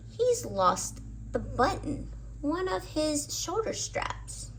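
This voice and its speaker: Female storyteller for kids' read-aloud. Lively pitch, unhurried dramatic phrasing, slightly off-mic. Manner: dramatic, deliberate phrasing with stretched words. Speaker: storyteller reading a children's picture book. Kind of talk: expressive read-aloud storytelling for young children. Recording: distant microphone with faint background noise.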